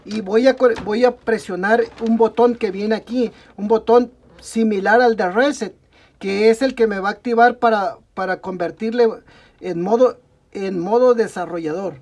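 A young man with a Mexican Spanish accent, walking through a computer repair step by step at his workbench, often pausing to correct himself. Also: high-pitched, fairly even intonation, darkish tone. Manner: talks through each step while working, frequent "ok, amigos" and self-corrections. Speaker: tech tutorial host. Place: indoor workbench at a desk.

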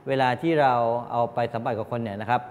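An older man giving a classroom lecture as a teacher, explaining in a halting way with frequent polite particles. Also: darkish tone, muffled recording. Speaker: technical college lecturer. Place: medium-sized indoor classroom with students. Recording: reverberant room, clear background noise, low rumble.

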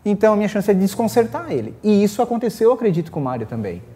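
Southern Brazilian male racer, casual panel chat, high animated voice. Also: rapid-fire. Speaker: guest drag racer. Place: small indoor studio set.

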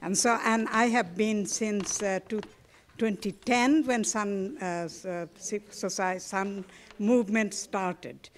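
An older woman from Bangladesh, a civil society advocate, speaking haltingly with many restarts into a handheld mic held too low. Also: a little hiss or hum. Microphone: handheld microphone held low.

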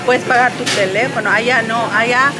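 A woman's voice: on the high side, fairly even intonation, normal speed, very noisy. A woman in her forties from Mexico with a northern Mexican accent, chatting relaxedly in a supermarket interview. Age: 40s. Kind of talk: casual street interview. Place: large supermarket indoors.

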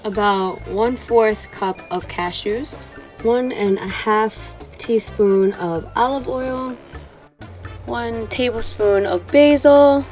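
A woman's voice: on the high side, a little mellow. Stuffy-nosed young woman chatting casually in a kitchen. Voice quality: chatty, laid-back, sprinkles in slang and fillers. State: stuffy, congested from a cold. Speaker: cooking vlogger. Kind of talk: casual cooking tutorial. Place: home kitchen.

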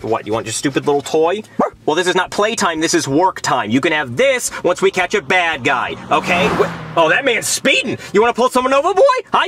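A man voicing a grumpy, sarcastic police officer who keeps breaking into excited yelps. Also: high-pitched, a little hiss or hum, full character voice. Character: grumpy sarcastic male cop.

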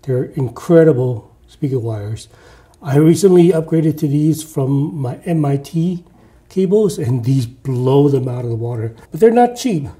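A man's voice: a little high, measured pace. Chatty man in an echoey living room. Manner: relaxed, chatty, with frequent uh fillers and restarts. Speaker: audio reviewer. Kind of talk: casual product review.

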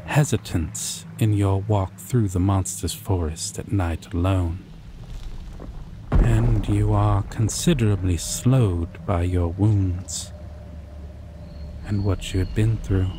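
A man narrating a scripted second-person story in an ASMR roleplay, deliberately, with dramatic pauses. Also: deep voice. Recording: loud steady background noise.

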